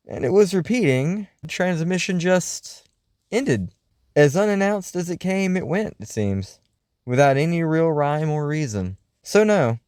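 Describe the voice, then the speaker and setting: A man hosts in a scripted radio-show style, theatrical and wry. His pitch sits a little high and swings widely, yet the tone stays mellow. The sound is close and dry. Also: dry recording. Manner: theatrical, wry, musing delivery. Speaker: host. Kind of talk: scripted radio-show style narration, reading listener mail.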